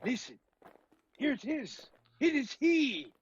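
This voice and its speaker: An actor playing an urgent, commanding professor who hunts vampires, in a dramatic radio-play style with a husky voice. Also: male voice, very high voice, sing-song, takes it slowly, lo-fi sound, very noisy, heavily acted. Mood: alarmed.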